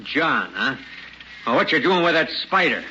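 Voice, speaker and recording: Gruff homesteader dad, higher-pitched and forceful, suspicious, folksy, old radio drama sound. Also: male voice, sing-song, measured pace, exaggerated voice. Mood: scornful. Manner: folksy, rough rural speech, wary. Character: gruff, suspicious prospector father, rough frontier homesteader. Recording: muffled, noisy old radio recording.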